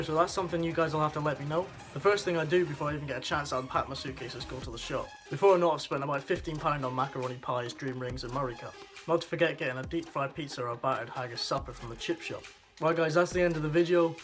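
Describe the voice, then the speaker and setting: A young man from the Scottish Highlands, his Scottish accent a little softened by years in England, chatting wryly and bluntly to camera in a casual vlog. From Scotland. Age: young adult. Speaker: vlogger.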